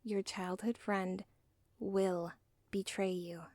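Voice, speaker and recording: A woman playing a villain's lovestruck, self-aware daughter in an ASMR roleplay, whispering softly with a halting, deliberate, intimate delivery. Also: mid-range voice, little pitch movement, dry recording, close to an everyday voice. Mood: firm, earnest. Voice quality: soft, breathy, whispery.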